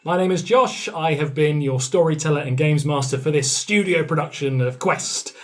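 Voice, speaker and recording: A man's voice: a little high, moderate pace. A man hosting a casual podcast as games master, chatty and playful. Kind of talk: casual podcast chat with scripted intro.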